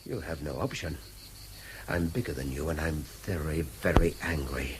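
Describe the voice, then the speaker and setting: An actor playing a guilt-ridden but principled British man in a radio drama, speaking in formal, measured, articulate sentences. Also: very deep voice, a little hiss or hum. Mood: defiant, angry. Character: guilt-ridden, principled, indignant educated man under threat. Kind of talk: BBC radio drama acting.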